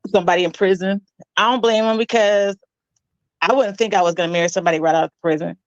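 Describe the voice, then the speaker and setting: A woman in her forties telling her story in an interview, matter-of-fact and a little halting. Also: medium pitch, no echo. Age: 40s. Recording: dull, dry lo-fi clip audio.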